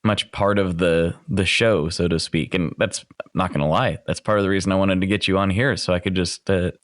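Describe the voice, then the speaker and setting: A man's voice: low voice, fairly even intonation, brisk, no echo, studio quality. A man hosting a podcast, chatting in a friendly way with a radio announcer's voice. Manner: chatty, friendly, thinks aloud. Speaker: podcast host. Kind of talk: casual interview.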